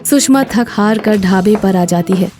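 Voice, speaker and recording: मृदु स्वर वाली महिला कथावाचक. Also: female voice, mid-range voice, a little slow, dark tone, soft background music. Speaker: narrator. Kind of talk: scripted story narration.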